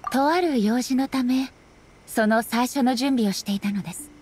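A woman playing a solemn, dignified female ruler in an anime game, speaking formally and with measured politeness. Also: fairly high pitch, noisy background, close to an everyday voice. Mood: composed. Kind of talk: anime game dialogue acting.

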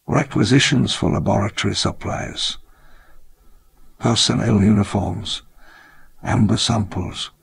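A wistful old founder looking back on his grand dream, in a game's memoir narration. His voice is low and deeply gravelly, with a rough, husky edge. He speaks in a measured, reflective way. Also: fairly bright tone, full character voice. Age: older. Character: elderly male theme park founder, wistful and grand. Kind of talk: scripted memoir narration in a game.

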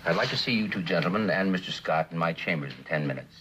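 An actor playing a grave, authoritative judge speaking formally in a 1960s television courtroom drama. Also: male voice, low voice, lively intonation, fast, fairly bright tone, slightly rough, exaggerated voice, low-quality recording. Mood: grave, official.

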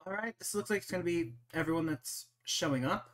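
A game master with a medium-pitched voice runs an online roleplay session. The narration is casual and improvised, and feels its way through each description. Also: brisk. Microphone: voice call.

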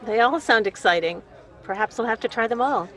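A woman's voice: on the high side, a little fast, a bit bright, some chatter behind, natural voice, low-quality recording. A woman playing a devoted, scheming criminal lover in a period radio melodrama, with romantic, theatrical phrasing. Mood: smug, fond.

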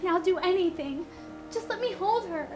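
A woman's voice: extremely high pitch, lively intonation, moderate pace, music in the background. An actress playing a desperate young woman pleading to see her baby in a campy comedy. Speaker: actor.

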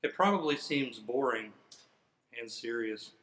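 A man's voice: medium pitch, lively intonation, measured pace, fairly bright tone. A middle-aged man reading a children's book aloud in a theatrical, playfully exaggerated manner. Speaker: firefighter. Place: indoors in front of a fire truck. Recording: roomy, echoing sound.

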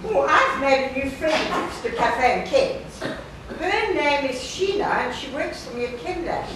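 An echoey, distant stage recording with clear noise. An actress plays a friendly woman shop manager, earnest and eager, her high voice swinging up and down as she projects simple lines. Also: expressive, a little slow. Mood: cheerful, chatty. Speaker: stage actor. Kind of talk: live stage play dialogue. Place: theatre stage before a live audience. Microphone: far camera. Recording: echoey noisy theatre, distant camera mic.

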